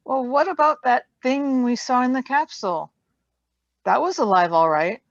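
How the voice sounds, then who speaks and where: Actress playing a high, earnest young companion. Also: female voice, very animated, normal speed, dry recording, dull audio. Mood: inquisitive. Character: earnest, inquisitive, loyal young female companion. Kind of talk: live script reading, radio play.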